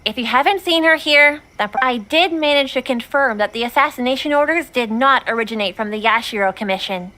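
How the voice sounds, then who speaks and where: A woman's voice: sits high, fairly quick, hardly any pauses, bright, forceful tone. A woman playing a dutiful, perceptive young shrine maiden in an anime video game, speaking formally and politely. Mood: puzzled, searching.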